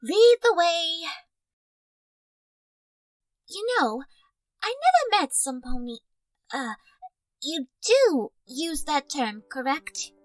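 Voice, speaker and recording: A woman voicing a guarded, dry-witted, well-spoken young creature girl in an animated drama. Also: high voice, takes it slowly, pauses often, no room sound, exaggerated voice, low-quality recording. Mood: confident, sassy. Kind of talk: animated audio drama acting.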